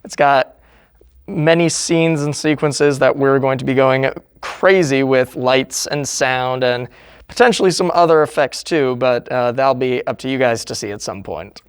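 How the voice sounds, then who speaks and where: A man's voice: medium pitch, unhurried, clean tone, dry recording, studio quality. A man from a theater production giving informal answers in an interview.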